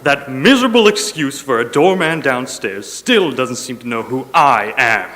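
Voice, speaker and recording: A man's voice: medium pitch, expressive, moderate pace, a bit bright, lightly acted. A man playing a vain, irascible radio star and director in a stage comedy, pompous, blustering and theatrical. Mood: indignant. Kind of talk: stage comedy about old-time radio. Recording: echoey hall with long reverb.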